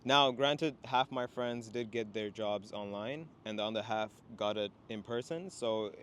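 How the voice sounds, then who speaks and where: Young man from sri lanka, low voice, relaxed, faint outdoor noise. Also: unhurried. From Sri Lanka, raised in Qatar. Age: young adult. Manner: relaxed, many fillers like "you know". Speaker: guest, international student. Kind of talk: casual conversation. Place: outdoors in a city, traffic nearby.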